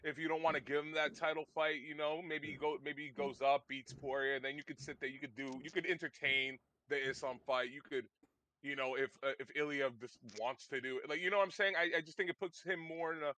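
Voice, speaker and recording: A man's voice: fairly high pitch, fairly even intonation, fairly quick, sharp tone, rough recording. A man co-hosting a casual podcast, talking loosely and hesitantly with lots of slang. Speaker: podcast co-host. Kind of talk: casual chat about fights.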